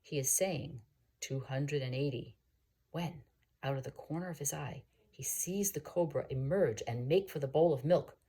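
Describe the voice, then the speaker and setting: A woman who works as a teacher reads a story aloud in an expressive, explanatory manner. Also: low-pitched, slightly rough. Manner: expressive, explanatory, pausing to gloss words. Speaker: teacher reading aloud for students. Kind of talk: scripted storybook read-aloud.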